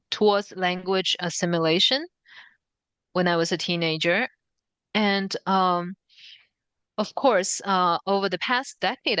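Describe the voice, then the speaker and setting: A woman with a Chinese accent speaks in a lowish, smooth and resonant voice. She is an author being interviewed, thinking aloud with frequent pauses and soft fillers. The sound is dry and close. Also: no echo. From Shanghai, China. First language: Shanghainese. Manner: thoughtful, many ums and pauses. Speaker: author guest. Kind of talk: conversational interview.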